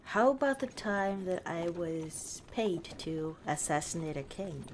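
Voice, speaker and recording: Woman acting as female orc chieftain, low pitch, very slow delivery, warm and teasing, ASMR fantasy roleplay, horse hooves and ambience behind. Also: animated, slight background noise. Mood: fond storytelling. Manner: unhurried, dry, teasing, casually dismissive. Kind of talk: ASMR roleplay monologue to the listener.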